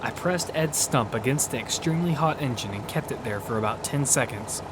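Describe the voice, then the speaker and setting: A man's voice: mid-range voice, expressive, fairly quick, heavy background noise. A man earnestly narrating a dramatic first-person action story in scripted audio fiction. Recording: loud music bed behind the voice. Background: loud music.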